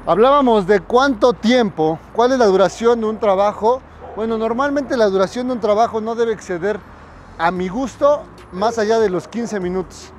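High-voiced man coaching outdoors. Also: moderate pace, a little hiss or hum. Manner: instructive, addresses viewers directly. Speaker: dog trainer. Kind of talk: outdoor training tutorial. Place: residential street outdoors.

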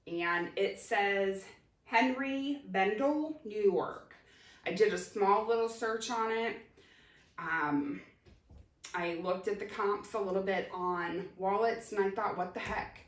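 Slow-talking woman vlogger in a roomy kitchen. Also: medium pitch. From Ohio. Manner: chatty, unhurried, many ums, holding up items. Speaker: thrift reseller vlogger. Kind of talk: casual haul show-and-tell. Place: home kitchen, indoors. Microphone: camera at a distance. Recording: roomy kitchen sound, voice from a distance.

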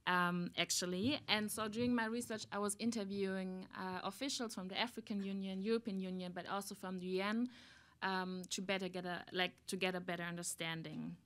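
A woman's voice: mid-range voice, fairly even intonation, a little fast, a bit bright. A young woman from Germany with a German accent, speaking as a researcher on a conference panel, thoughtful with fillers, into a table microphone. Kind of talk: panel talk.

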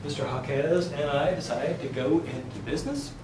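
A man's voice: on the high side, moderate pace, darkish tone. A man in his fifties giving a live university finance lecture, chatty, asking the class questions and answering them himself. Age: 50s. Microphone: far camera, no visible mic. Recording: roomy classroom, faint background noise.

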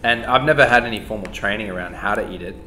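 Australian CEO type, low-pitched, easygoing tasting chat, roomy studio with music under it. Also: male voice, fast, pushed and bright. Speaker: company CEO tasting food. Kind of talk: casual on-camera reactions. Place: indoor studio set at a table.

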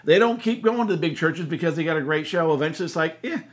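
A man's voice: a little high, animated, quick pace. A man in his sixties with an American accent, explaining things conversationally and emphatically to a co-host and camera, often repeating phrases for stress. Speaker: church consultant and co-host. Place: indoor room, seated beside co-host.

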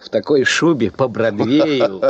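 An actor playing a plain-spoken, philosophical gold digger in a 1970s Soviet radio drama, familiar and forthright. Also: male voice, medium pitch, a little slow, breathy edge, muffled recording. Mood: cheeky, forthright.

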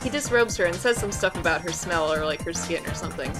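A woman's voice: lowish voice, fast talker, over music. A woman co-hosting a casual podcast, reading a chapter summary with wry, sarcastic asides. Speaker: podcast co-host.

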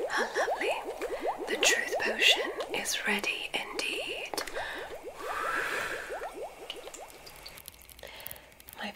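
A woman playing a gracious, whimsical witch who runs a magic school, in a genteel, breathy ASMR whisper. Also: extremely high pitch, pitched above the performer's own voice, heavily acted, rough recording. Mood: welcoming, theatrical. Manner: genteel, unhurried, welcoming. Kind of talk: ASMR medical roleplay.

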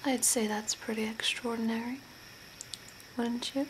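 A young woman playing an eerie, courteous zombie in a slow, deliberate, breathy ASMR whisper. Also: a little high, fairly even intonation, natural voice, poor audio. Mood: softly intrigued. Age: young adult. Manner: slow, deliberate, softly spooky. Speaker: ASMR role-play performer. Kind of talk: whispered ASMR role play. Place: small room indoors by a curtained window. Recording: clear background noise, ambient hiss.

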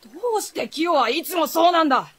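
A woman's voice: high voice, fast, a bit bright. An actress playing an earnest, protective, sensitive teenage boy in a radio drama. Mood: worried, angry.

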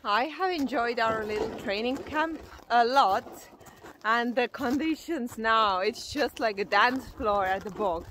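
A woman's voice: a little high, a little slow, fairly bright tone, a little hiss or hum. A woman in her thirties with an Estonian accent, talking casually and candidly to a camera she holds at arm's length outdoors, with plenty of fillers and self-mocking asides. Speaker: vlogger. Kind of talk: casual vlog talk to camera. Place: outdoors in a forest clearing.

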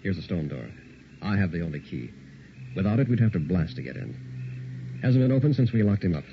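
Male actor as resolute count, low voice, swooping intonation, clipped phrases, old radio horror, muffled. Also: sing-song, a little fast, creaky, full character voice. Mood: hushed, uneasy. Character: male count, master of a castle, determined. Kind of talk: 1960s old-time radio horror acting. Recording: muffled old radio recording, background noise.

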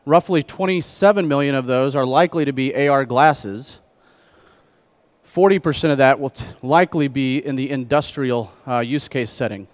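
A middle-aged man presenting a conference keynote on stage through a headset mic, speaking in measured phrases. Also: mid-range voice. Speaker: keynote speaker, trade association president.

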